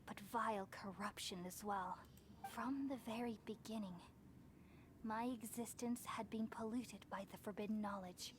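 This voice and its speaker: A woman voicing a gentle, wise, selfless goddess in a video game, speaking in a solemn and measured way. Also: mid-range voice, a little hiss or hum, lightly acted. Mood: solemn, grave. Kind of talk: scripted video game voice acting. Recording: game cutscene with music behind.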